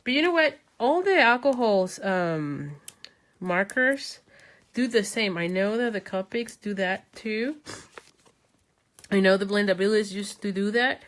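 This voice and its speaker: A woman's voice: mid-range voice, lively intonation, a little slow. A woman from Mexico, who speaks natively, hosting a live craft demonstration, thinking aloud as she works and answering viewers' comments. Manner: chatty, thinking aloud while she works, many ums. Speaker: crafting host.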